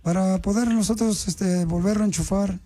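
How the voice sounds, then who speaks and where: A man's voice: high voice, steady tone, normal speed, dark tone, faint music behind. A male radio host, posing as a government official in a prank phone call, teasing and mock-stern.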